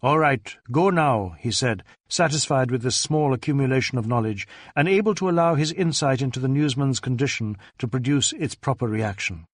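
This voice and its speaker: A man's voice: medium pitch, lively intonation, a little fast, hardly any pauses, no room sound, clean studio sound. A man reading scripted literary narration as an audiobook narrator.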